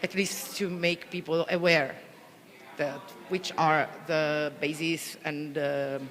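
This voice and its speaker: A woman police officer from Italy with an Italian accent, speaking English haltingly with many restarts as she searches for words. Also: low voice, steady tone, fairly bright tone, long reverb tail. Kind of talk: interview answers, conference delegate.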